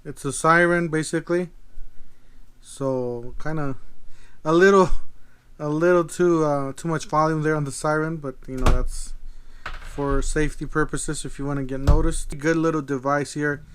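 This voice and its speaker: A man giving a casual product demo as a video host, thinking aloud in a halting, tentative way. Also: on the high side, fairly even intonation, noisy background. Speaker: video host, gadget reviewer.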